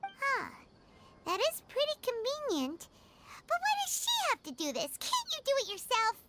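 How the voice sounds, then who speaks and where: A woman's voice: wildly swooping pitch, moderate pace, very noisy, heavily acted. A woman voicing a tiny, chirpy, blunt fairy girl in a video game, childlike and exclamatory, calling herself by name in the third person. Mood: dismayed, questioning. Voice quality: childlike, squeaky. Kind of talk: video game character dialogue. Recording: loud music behind.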